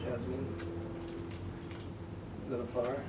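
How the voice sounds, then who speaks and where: A man co-hosting a podcast in casual chat, giving dry, brief deadpan replies. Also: mid-range voice, measured pace. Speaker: podcast co-host. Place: home studio room. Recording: echoey room, mic far off, background noise.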